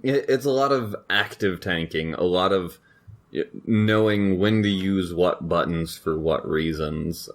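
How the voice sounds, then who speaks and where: Male podcast co-host, very low voice, casual gaming discussion, measured and halting delivery.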